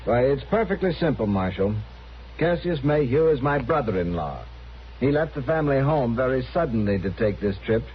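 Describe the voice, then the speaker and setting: An actor playing an officious, demanding gentleman with a prim, insistent manner in an old-time radio western. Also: mid-range voice, sing-song, normal speed, natural voice. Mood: officious, impatient. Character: officious, demanding, self-assured brother-in-law, adult man. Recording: muffled, noisy old radio recording.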